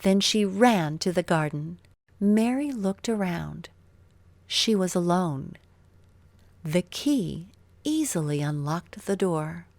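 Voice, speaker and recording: A woman narrating a scripted children's story in an expressive, deliberate storytelling manner. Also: lowish voice, no echo.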